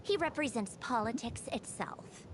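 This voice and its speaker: A woman voicing a haughty, animated female engineer commander. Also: on the high side, normal speed, background noise, lightly acted. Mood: dry explaining. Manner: dry, impatient, teasing. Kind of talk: scripted video game voice acting. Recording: music under the voice. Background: game music.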